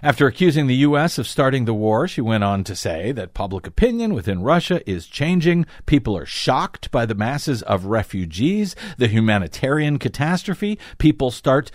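A man's voice: mid-range voice, sing-song, normal speed, hardly any pauses, no echo, clean studio sound. A man hosting a talk program, reading news excerpts aloud and commenting on them in an emphatic way. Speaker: talk show host and commentator.

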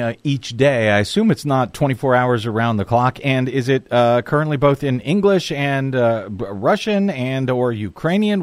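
A man's voice: mid-range voice, no echo. Man hosting a radio interview, dry sound. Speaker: radio show host. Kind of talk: conversational interview.

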